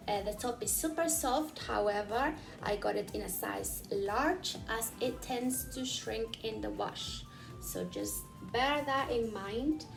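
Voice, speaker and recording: Woman vlogging with soft music. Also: on the high side, creaky. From Peru. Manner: friendly explaining, with frequent "uh" fillers. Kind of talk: casual vlog recommending souvenirs.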